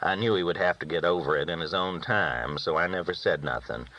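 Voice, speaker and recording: A man's voice: sits low, fairly quick. A man reading a novel aloud as a first-person storyteller, with folksy rural phrasing, on a dull recording. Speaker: audiobook reader.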